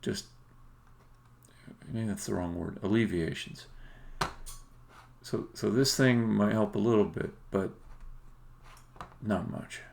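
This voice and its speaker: A man talking casually and haltingly as he thinks aloud on a live coding stream, with some words stressed emphatically. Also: fairly low pitch, creaky, a little mellow, a little hiss or hum. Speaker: game developer livestreaming.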